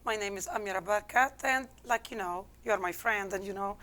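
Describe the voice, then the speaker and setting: A woman's voice: mid-range voice, fairly quick, sharp tone. Algerian-accented woman telling her story. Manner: candid, reflective, non-native English phrasing. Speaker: interview guest. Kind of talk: personal storytelling in an interview.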